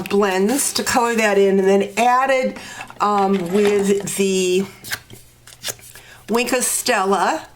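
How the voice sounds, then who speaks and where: A woman's voice: medium pitch, a little hiss or hum. A woman with a congested, stuffy nose, walking through a craft project step by step with frequent fillers. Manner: slow, step-by-step instructing with fillers. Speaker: card-making demonstrator. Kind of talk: crafting tutorial walkthrough.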